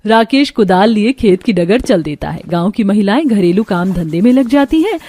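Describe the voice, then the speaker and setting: A woman's voice: medium pitch, expressive, moderate pace, mellow, dry recording. A woman reading scripted narration for a cartoon story.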